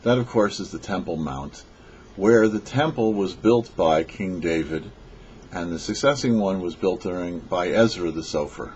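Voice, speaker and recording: A man delivering a scripted religious teaching as a rabbi, in a measured, emphatic way with rhetorical questions. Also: deep voice, darkish tone, slight background noise. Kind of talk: scripted sermon read for a radio show. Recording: dull, lo-fi audio.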